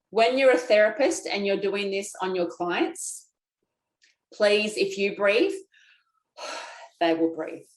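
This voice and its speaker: A woman's voice: mid-range voice, expressive, very slow, pauses often, echoey room, rough recording. A woman teaching a guided self-massage class, chatty and folksy, talking straight to her viewers. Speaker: lymphatic drainage instructor.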